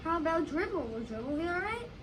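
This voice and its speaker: A high-pitched, stubborn young boy, upset about a lost pet as read by a female performer. The lines are read plainly, as in a school play. The recording is distant and very noisy. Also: sing-song, fast talker. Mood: worried questioning. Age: child or young. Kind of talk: school readers theater reading. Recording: distant mic, loud background noise.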